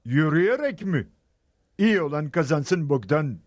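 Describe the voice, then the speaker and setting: A man's voice: a little high, very animated, moderate pace. A man playing a stubborn, competitive royal heir, eager and scheming, in a Turkish cartoon dub. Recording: loud music behind the voice.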